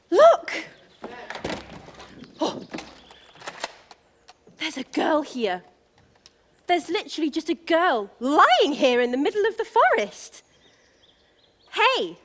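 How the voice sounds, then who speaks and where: An actress playing a vain, excitable young prince in a stage pantomime, talking in a slangy, over-excited influencer manner. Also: female voice, sits high, extremely slow, pauses often, slight background noise, full character voice. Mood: startled, curious. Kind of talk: theatrical pantomime stage acting.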